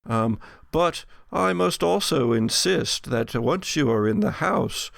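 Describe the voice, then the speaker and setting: A man voicing a tall, thin butler in a horror game, formal and courteous with quiet menace. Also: medium pitch, animated, creaky, no echo, clean studio sound, pitched above the performer's own voice, heavily acted. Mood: courteous, insistent. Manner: formal, courteous, measured servant speech.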